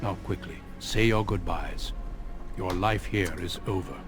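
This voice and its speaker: Fantasy game recruiter: stern seasoned warrior, lowish voice, solemn and commanding, prominent music underneath. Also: male voice. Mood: stern, urgent. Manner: solemn, authoritative, measured. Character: grave commanding veteran warrior, a male Grey Warden recruiter. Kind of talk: fantasy video game voice acting.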